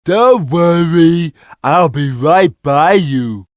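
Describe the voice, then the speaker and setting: A man's voice: fairly high pitch, lively intonation, no echo. A man voicing a big, easygoing, simple-minded cat in a video game, with a childlike drawl. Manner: slow-witted, childlike, drawling. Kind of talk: video game character voice lines.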